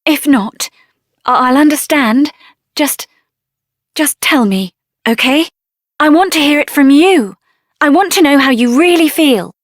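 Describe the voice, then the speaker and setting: An actress voicing a spirited, headstrong young woman adventurer in a video game, expressive and earnest, with a tender side. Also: high voice, a little slow, long pauses, dry recording, studio quality. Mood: earnest, nervous confession.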